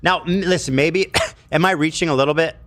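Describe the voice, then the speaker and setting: A man's voice: on the high side, a little fast, a bit bright. A man joking in commentary with a teasing, mock-preachy manner.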